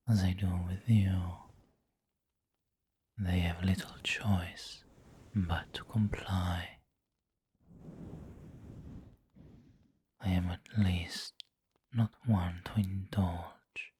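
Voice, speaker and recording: A man playing a refined, doting and possessive vampire lord in an intimate ASMR roleplay, with a breathy whisper and formal, tender phrasing. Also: low-pitched, a little mellow, full character voice. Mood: doting, reassuring. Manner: slow, formal, tender, indulgent.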